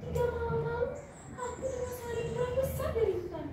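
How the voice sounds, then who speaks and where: A girl playing a frail, kind old granny from a folk tale, soft and quavering, pitched very high. The voice rings through a big echoey hall, heard from a distance with noise behind. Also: brisk. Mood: worried. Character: frail, kindly old grandmother, worried. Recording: echoey hall, from far off, noisy.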